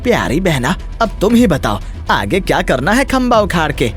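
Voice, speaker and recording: A man voicing a scheming, wicked village uncle in an animated Hindi moral story, with a theatrical, sly drawl. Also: fairly high pitch, moderate pace, faint music behind. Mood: gloating, scheming.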